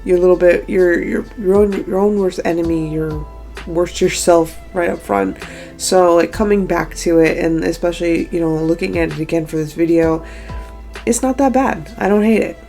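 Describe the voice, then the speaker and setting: Young woman vlogging her art, kinda hoarse and stuffy, gravelly lowish voice, lots of ums, soft music behind. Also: moderate pace. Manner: chatty, self-critical, many fillers. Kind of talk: casual talking-head recap.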